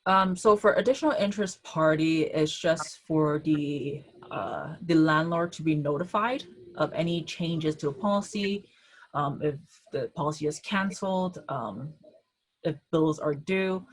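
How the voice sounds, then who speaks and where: Low-voiced woman answering insurance questions. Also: unhurried. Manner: hesitant, many ums and uhs. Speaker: insurance agent. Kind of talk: answering questions in an online webinar. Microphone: video call.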